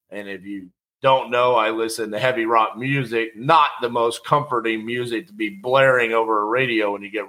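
Bright-voiced man telling a story. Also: mid-range voice. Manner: conversational, animated, acts out quotes. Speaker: podcast host telling a personal story. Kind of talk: casual storytelling monologue.

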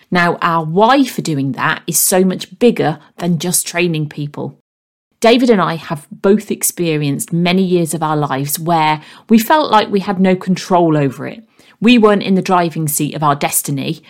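A woman hosts a motivational podcast in a fairly low voice. A faint croak runs through her speech. She talks to her listeners in an earnest, encouraging tone. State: slightly croaky voice. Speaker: podcast host and coach.

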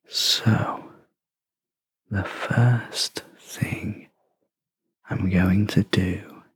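Super breathy whispery sleep meditation voice, barely changes pitch, soft and gentle, close dry sound. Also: no room sound. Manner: gentle, soothing, long pauses between short phrases. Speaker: sleep meditation guide, host. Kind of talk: guided sleep meditation, soft scripted narration.

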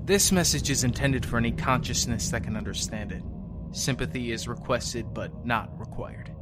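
A man's voice: mid-range voice, lightly acted. Man playing a weary, lonely survivor over music. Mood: anxious pleading. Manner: halting, understated, resigned musing. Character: lonely, weary male survivor broadcasting after an apocalypse. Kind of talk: audio fiction monologue.

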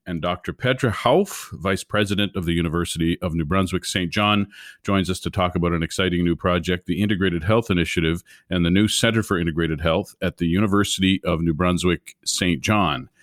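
Deep-voiced man hosting a podcast. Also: dry recording, clean studio sound. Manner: earnest, reads a prepared intro. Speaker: podcast host. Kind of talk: scripted intro and interview.

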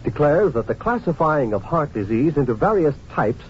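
Male vintage radio announcer, formal and emphatic, lively intonation, muffled sound. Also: on the high side, normal speed. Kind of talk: scripted 1950s radio announcement. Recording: muffled old radio recording, light noise.

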